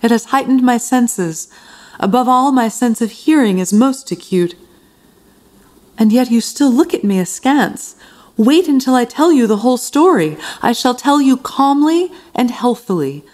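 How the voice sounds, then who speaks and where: A prim, over-polite madwoman with a fairly high voice, from a gothic radio play. She speaks formally and fussily, with nervous insistence. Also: expressive, a little slow, darkish tone. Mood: nervous, insistent. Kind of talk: gothic radio drama acting.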